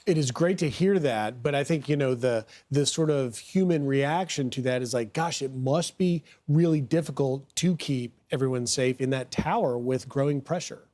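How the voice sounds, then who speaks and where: A man's voice: mid-range voice, normal speed, few pauses, darkish tone. A man hosting a broadcast news show, introducing guests and putting questions to them in an interview.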